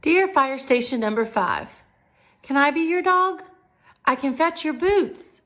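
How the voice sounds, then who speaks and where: An eager young stray dog from a storybook , acted out by a woman reader, speaking in a high voice. He is hopeful, polite and pleading, with playful cheek. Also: expressive, a little slow, fairly bright tone. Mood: eager, cheeky.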